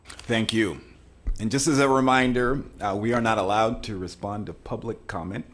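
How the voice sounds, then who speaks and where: Low-voiced man chairing a meeting. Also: moderate pace, fairly bright tone. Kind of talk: calling public speakers.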